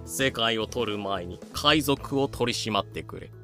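A man's voice: mid-range voice, expressive, a little slow, pushed and bright, soft background music. A man playing a smug, haughty colonial governor in a comedic voice drama, talking in a pompous, sardonic way. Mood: dry.

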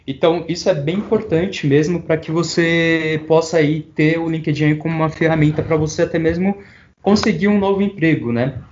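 Brazilian male podcast co-host, relaxed and hesitant, higher voice, muffled recording. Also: slight background noise. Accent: Brazilian Portuguese. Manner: relaxed, many filler "é" pauses, laughs easily. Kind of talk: casual chat. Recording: dull lo-fi sound.

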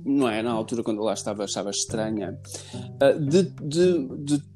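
A man with a Lisbon Portuguese accent chatting casually on a podcast, pausing often with fillers and restarting his sentences. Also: medium pitch, animated, over music. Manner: hesitant, many fillers and restarts, jokey asides. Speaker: podcast guest.

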